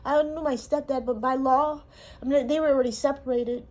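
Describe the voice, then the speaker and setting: A woman from Hawaii, telling her life story in a halting, emotional way with pauses. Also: high-pitched, fairly even intonation, darkish tone. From Honolulu, Hawaii. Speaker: interviewee. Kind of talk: personal testimony.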